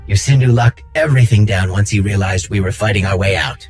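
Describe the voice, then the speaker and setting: A man playing an earnest, quick-thinking rebel worker in a video game, talking informally and persuasively. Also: on the low side, darkish tone, husky, faint music behind. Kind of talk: video game cutscene acting.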